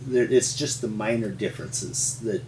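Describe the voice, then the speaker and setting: A man's voice: lowish voice, moderate pace, background noise. A man, a paleontologist, telling folksy, rambling stories in an interview. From Montana. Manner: rambling, folksy, chuckling storyteller. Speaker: resident paleontologist. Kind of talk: storytelling interview. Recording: echoey room, mic at a distance.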